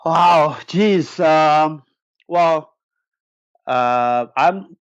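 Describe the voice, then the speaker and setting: A man in his forties, from Indonesia, speaking as an interview guest. He talks at an unhurried pace with lots of ums and false starts. The recording sounds dull and lo-fi. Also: a little high, smooth. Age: 40s. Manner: hesitant, restarts with fillers and laughs. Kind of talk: casual interview chat.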